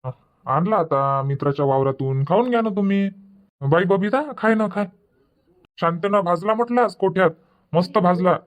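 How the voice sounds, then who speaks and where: A man's voice: high voice, normal speed, pauses often, close to an everyday voice. A man voicing a practical middle-aged village husband in a dubbed cartoon, lecturing and scolding. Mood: coaxing.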